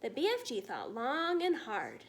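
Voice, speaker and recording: A woman reading a children's story aloud as an expressive narrator. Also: a little high, a little slow.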